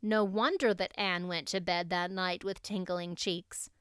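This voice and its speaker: A woman's voice: lowish voice, fairly even intonation, measured pace, fairly bright tone, clear, resonant tone, no room sound, clean studio sound. A woman audiobook narrator reading a classic novel expressively.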